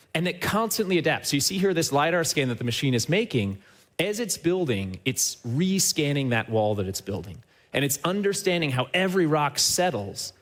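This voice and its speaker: A man who heads a company, giving a lively talk on a trade show stage and speaking straight to the audience, often tagging his points with a quick question. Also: medium pitch. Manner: upbeat and enthusiastic, often checks in with a tag question; addresses the audience directly. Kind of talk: live conference presentation.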